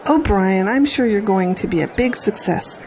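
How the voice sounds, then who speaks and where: A woman's voice: mid-range voice, normal speed, darkish tone, some chatter behind, a little hiss or hum, close to an everyday voice, poor audio. A woman playing a doting, fussy middle-aged mother in an audio drama.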